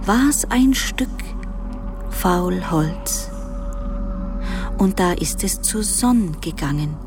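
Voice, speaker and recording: An actress playing a woman who tells a desolate fairy tale in a radio play, halting and at times whispering. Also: fairly low pitch, lively intonation, full character voice. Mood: bleak, hushed. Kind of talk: slow storytelling in a radio play. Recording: music behind the voice.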